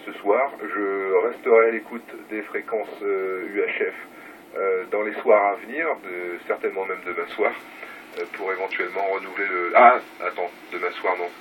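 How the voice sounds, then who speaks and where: A man's voice: fairly low pitch, fairly bright tone. A man chatting casually over a two-way radio as an operator, repeating phrases for emphasis. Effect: heard over two-way radio. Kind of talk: casual radio contact chat. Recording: distant noisy radio-speaker sound.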